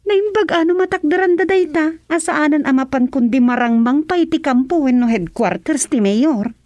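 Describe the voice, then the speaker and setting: A woman's voice: sits high, moderate pace, a little mellow, dry recording, natural voice. A woman with an Ilocano Filipino accent playing a disapproving village gossip in a radio comedy-drama.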